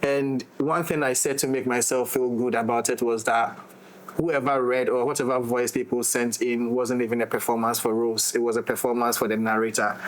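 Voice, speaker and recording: A man's voice: mid-range voice, little pitch movement, moderate pace, rough recording. A man talking reflectively as a director in a casual roundtable, often repeating and rephrasing his phrases. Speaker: audio drama director.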